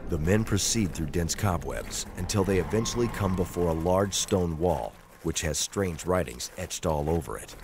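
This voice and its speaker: A man's voice: sits low, expressive, moderate pace, gravelly, few pauses, noisy background. A man reading dramatic scripted narration as the narrator of an audio drama. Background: music and sound effects.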